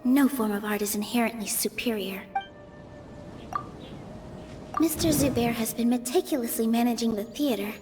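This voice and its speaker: An actress playing a gentle, caring young theater dancer in a video game, speaking with soft reassurance. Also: female voice, fairly high pitch, fairly quick, natural voice. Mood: gentle, thoughtful. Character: gentle, wise young female theater dancer, sympathetic friend. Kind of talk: dramatic video game voice acting. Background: background music.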